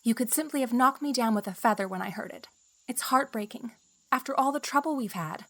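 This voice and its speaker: A woman's voice: a little high, brisk. A woman playing an indignant young village woman, emphatic and aggrieved, in an audiobook drama.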